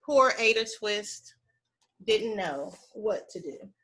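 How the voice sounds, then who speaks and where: A woman reading a children's picture book aloud expressively, with deliberate phrasing, dramatic pauses and emphasis. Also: mid-range voice, lively intonation, a bit bright.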